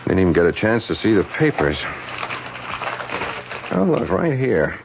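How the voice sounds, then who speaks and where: A pushy campaign manager who teases and persuades, in an old radio drama. His voice sits low, and the sound is muffled like a 1970s broadcast. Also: very animated, creaky, background noise, heavily acted. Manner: fast-talking, persuasive, teasing.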